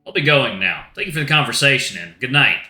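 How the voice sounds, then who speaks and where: A man reads a game's story aloud in a quiet, thoughtful way. His voice is very bright and crisp, at a middle pitch. A roomy echo surrounds it, with faint guitar music behind. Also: very animated, fast talker. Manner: reflective, subdued reading. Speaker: let's-play narrator. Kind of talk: first-person visual novel narration.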